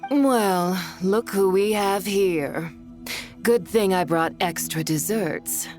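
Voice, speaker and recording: A woman's voice: mid-range voice, takes it slowly, lightly acted. An actress playing a haughty, poised female noble in a video game, speaking in a cool, arch, teasing manner. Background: soft game music.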